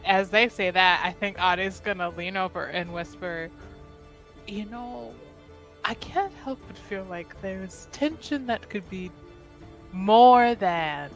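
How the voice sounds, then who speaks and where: Woman player, slow and halting delivery, dry asides. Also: mid-range voice, fairly bright tone, low-quality recording. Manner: hesitant, filler-heavy, wry. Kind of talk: tabletop roleplay chat.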